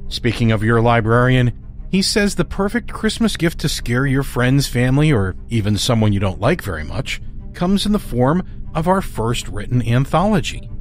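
A man hosting a podcast, reading a scripted introduction. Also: mid-range voice. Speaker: podcast host.

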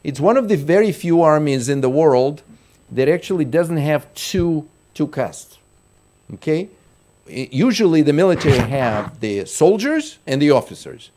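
A middle-aged man delivers a keynote from a lectern microphone in a large conference hall. His voice sits a little high for a man. He explains point by point and often stops, restarts and repeats words. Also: a little mellow. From Russia. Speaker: keynote speaker.

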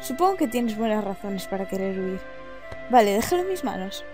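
A woman's voice: medium pitch, sing-song, a little fast, music in the background, voice pushed down, close to an everyday voice. A woman from voicing a cocky, gallant young male thief from a video game in a breezy, reassuring manner. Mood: assured.

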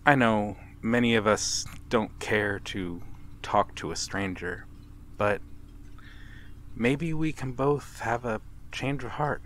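A man playing a wistful, courteous vampire in a gentle, formal ASMR roleplay. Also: fairly low pitch, a little hiss or hum. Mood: soft, inviting. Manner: gentle, formal, halting with soft chuckles and sighs. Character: wistful, courteous old-world male vampire stranger by a harbour. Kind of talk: ASMR audio roleplay, slow intimate monologue.

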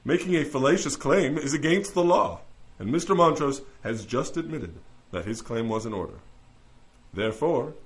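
An actor playing a shrewd, wry lawyer with a theatrical, probing way of questioning in an audio drama. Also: male voice, medium pitch, animated, normal speed, close to an everyday voice. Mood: triumphant. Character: wry, shrewd male lawyer pleading in a celestial court. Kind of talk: audio drama courtroom acting.

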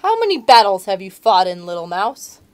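Woman as a grumpy lion king, gruff and lordly, full of himself. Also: mid-range voice, sing-song, moderate pace, a little mellow, natural voice. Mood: probing.

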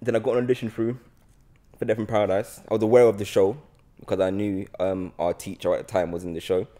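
A man's voice: lowish voice, flat delivery, fast, dark tone. A man in his twenties with a London accent, chatting informally and playfully as a podcast host. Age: 20s. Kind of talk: casual chat. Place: bright living room, on a sofa. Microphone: podcast mic on a boom arm.

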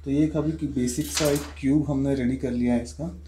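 Guy showing a recipe at home, mellow voice, echoey kitchen, bit of background hiss. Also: mid-range voice, fairly quick. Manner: relaxed, explains each step plainly. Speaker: home cook demonstrating a recipe. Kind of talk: casual step-by-step cooking demo. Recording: echoey kitchen with faint noise.

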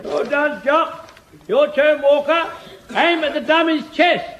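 An actor playing a doddery, excitable old English lance corporal in a radio sitcom, fussy and repetitive as he barks orders. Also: extremely high pitch, takes it slowly, muffled recording, background noise, heavily acted. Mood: commanding. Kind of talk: British radio sitcom acting.

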